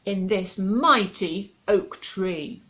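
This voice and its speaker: A woman voicing a huge, stubborn male dragon who speaks loudly and slowly with snarling threats. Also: mid-range voice. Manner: loud, slow, growling threats.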